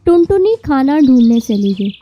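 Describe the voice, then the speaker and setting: A woman's voice: high voice, sing-song, normal speed, lo-fi sound. A woman narrating a scripted children's story, with music and birdsong behind her.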